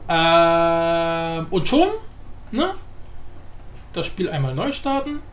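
A man presenting a software tutorial casually, with informal, jokey asides and frequent self-interruptions. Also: on the high side, very animated, drawn-out words. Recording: roomy echo, faint background noise.

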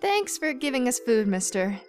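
A woman's voice: fairly high pitch, sing-song, moderate pace, darkish tone, soft background music. A woman voicing a sarcastic, impatient young girl in a cartoon parody dub. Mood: exasperated.

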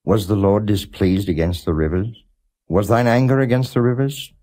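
A man giving a non-dramatized scripture reading as a narrator, in a measured, solemn and formal way. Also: lowish voice, warm tone. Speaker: Bible reader, audiobook narrator.

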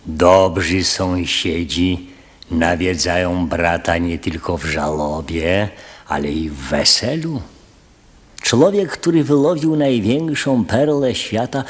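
An actor playing an earnest, deferential village spokesman in a radio play, speaking deliberately and ceremoniously. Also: low voice. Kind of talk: radio drama acting.

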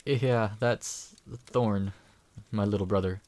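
A woman playing a kind, patient adventurer in a fantasy audio drama, talking in a gentle, casual, reassuring way. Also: a bass voice, takes it slowly, no room sound. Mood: gentle, wistful.

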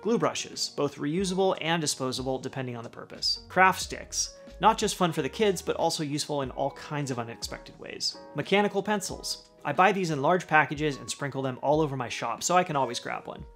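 A man's voice: on the high side, expressive, brisk, hardly any pauses, a bit bright, faint music behind. Upbeat man running down a tool list. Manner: upbeat list-style delivery, dropping at the end of each item. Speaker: woodworking channel host. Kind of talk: scripted product rundown. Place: home garage workshop.

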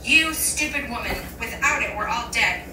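A woman's voice: fairly high pitch, expressive, moderate pace, lightly acted. A woman voicing an agitated, stammering man in a frantic hurry. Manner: stammering and urgent. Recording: roomy and distant, noisy background.

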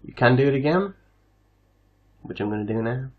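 A man hosting a casual software tutorial, explaining things step by step. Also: medium pitch, lively intonation, moderate pace, phone-quality sound. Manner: step-by-step instructional. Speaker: tutorial host.

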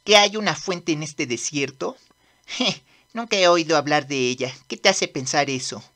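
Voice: medium pitch, unhurried, bright, forceful tone. A performer voicing a cocky, bratty young demon prince in a manga dub, with a swaggering, curt manner. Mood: curious, dismissive. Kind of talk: animated manga dub acting.

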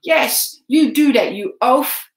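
A woman reading an audiobook, voicing a gruff, rough-tempered grown man in a snarling, scolding tone. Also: extremely high pitch, unhurried, a bit bright, distant mic, voice pushed up. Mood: scornful. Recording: roomy echo.

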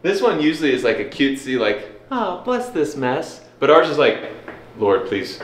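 A man's voice: medium pitch, big pitch swings, moderate pace, echoey room, a little hiss or hum. A man in his thirties giving a casual, joking walkthrough vlog of an old stone house, recorded on a camera mic. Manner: upbeat, joking, wry. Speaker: vlogger.

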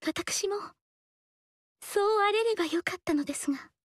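An actress voicing a gentle, melancholy young female dancer who speaks softly and politely in a game. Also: very high voice, moderate pace, no room sound. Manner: soft, polite and reserved. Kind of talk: game dialogue. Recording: dry studio voice.

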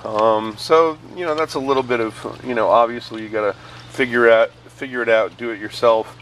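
A man's voice: mid-range voice, fairly even intonation, moderate pace, fairly bright tone, slight background noise. A middle-aged man recording outdoors, casually explaining a hydroponic bucket setup with lots of ums and uhs as he films. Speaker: hydroponics reviewer. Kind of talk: casual how-to walkthrough. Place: outdoors, beside buckets on pavement.

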